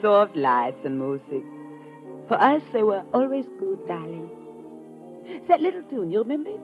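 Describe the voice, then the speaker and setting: A woman's voice: mid-range voice, big pitch swings, normal speed, close to an everyday voice. Sultry femme fatale with swooping intonation, acted by a woman. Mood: seductive. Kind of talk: 1950s radio drama acting, romantic scene. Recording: muffled old radio recording with music.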